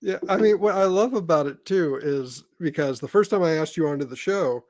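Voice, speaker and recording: An American man from Indiana hosting a casual podcast interview in a halting, rambling way. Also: on the high side, darkish tone, dry recording. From Indiana, United States. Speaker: podcast host.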